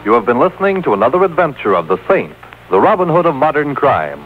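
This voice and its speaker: A man's voice: big pitch swings, quick pace. A man doing scripted 1940s radio announcing for a drama. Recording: tinny old radio taped by boombox. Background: faint music.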